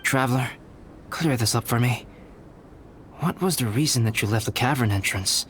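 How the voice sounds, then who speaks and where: A man playing a calm, analytical young alchemist knight in a video game, speaking formally and precisely. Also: mid-range voice, slight background noise, close to an everyday voice. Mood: calm, reassuring. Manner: measured, formal, precise, detached.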